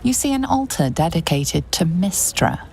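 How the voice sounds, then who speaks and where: A woman's voice: on the low side, sing-song, normal speed, gravelly, darkish tone, a little hiss or hum. A woman performing as a solemn storyteller narrating a fantasy game in a scripted style. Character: solemn low-voiced female storyteller narrator.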